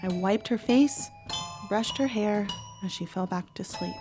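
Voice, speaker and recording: A woman playing a troubled, protective teenage girl who narrates a horror audio drama in a measured, dramatic way. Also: medium pitch, over loud music, lightly acted. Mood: hushed, disturbed. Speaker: actor narrating. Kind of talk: first-person horror audio drama monologue.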